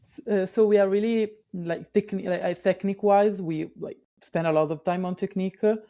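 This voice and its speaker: A woman's voice: mid-range voice, fairly even intonation, a little fast, mellow. A young woman from Italy, a college volleyball player answering with frequent hesitations. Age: young adult. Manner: hesitant, many "uh" fillers.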